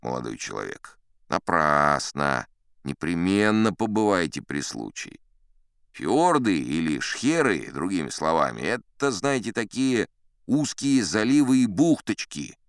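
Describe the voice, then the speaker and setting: A man's voice: low voice, very animated, a little slow, smooth, no room sound, clean studio sound, exaggerated voice. An actor playing a boastful old sea captain who spins yarns in a pompous, chatty, theatrical storytelling manner, savouring his words. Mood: vivid storytelling. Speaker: audiobook actor narrating in character. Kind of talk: theatrical storytelling in an audio play.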